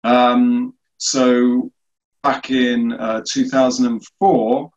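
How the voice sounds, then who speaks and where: Brief: male guest from the UK, mid pitch, easygoing, muffled low-fi audio. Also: very slow. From United Kingdom. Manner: relaxed, many fillers and restarts. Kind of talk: casual interview answers.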